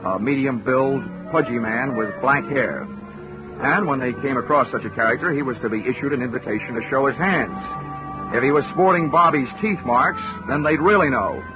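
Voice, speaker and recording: Actor as wry radio detective, muffled old broadcast. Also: male voice, medium pitch, moderate pace, natural voice. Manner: dry, wry, assured. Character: wry, wisecracking male insurance investigator narrating his case. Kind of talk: 1940s hardboiled radio narration. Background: music.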